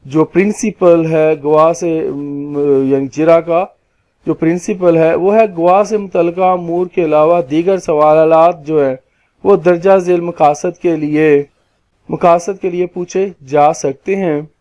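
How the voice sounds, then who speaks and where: A man with a Pakistani accent explaining legal points as a lawyer, in a formal, deliberate lecture style that sometimes repeats phrases. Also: a little high, fairly even intonation, mellow. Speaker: lawyer giving legal lessons.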